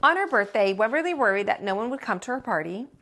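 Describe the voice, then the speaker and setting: Woman storytime host, slightly high voice, animated melody, deliberate expressive narration, children's picture-book reading.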